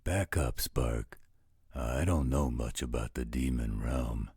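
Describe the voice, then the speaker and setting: A man playing a gruff, overprotective werewolf guardian in an audio roleplay, gravelly and husky, with a protective, fatherly manner. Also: rumbling low, takes it slowly, a little mellow, dry recording, studio quality, full character voice. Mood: worried, protective. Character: gruff, overprotective male werewolf guardian, tender toward his ward.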